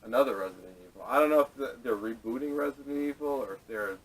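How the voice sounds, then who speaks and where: A man hosting a casual group chat about movies and games, recorded poorly on a camera microphone. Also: fast talker. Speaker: podcast host leading the topics. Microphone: camera microphone at a distance. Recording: distant camera microphone, noisy, rumbling.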